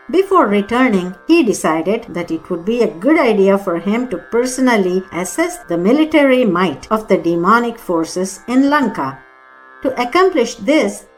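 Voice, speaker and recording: A woman narrates an epic devotional audiobook in a measured storytelling manner. Her voice sits at an average pitch. Faint Indian classical music with sitar plays behind her. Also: rough recording. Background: Carnatic music with sitar.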